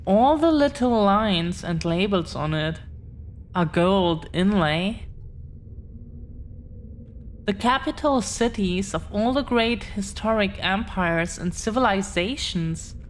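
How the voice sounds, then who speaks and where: An affectionate, proud dragon-blooded girlfriend, played by a woman, speaks in an intimate audio roleplay. Her pitch is average for a woman and her delivery is extremely unhurried, teasing, with long pauses. There is clear noise in the background of the recording. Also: animated. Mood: proud, bragging.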